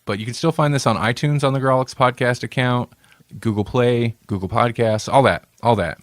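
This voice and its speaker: A man's voice: mid-range voice, steady tone, normal speed, no room sound, studio quality. A male podcast host in casual banter, playful and rambling, laughing often.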